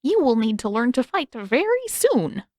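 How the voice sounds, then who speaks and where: A woman voicing a sly, scheming old king who taunts slowly in a fan fiction audiobook reading. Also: sits high, very animated, a little mellow, no room sound, studio quality, pitched above the performer's own voice. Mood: mocking.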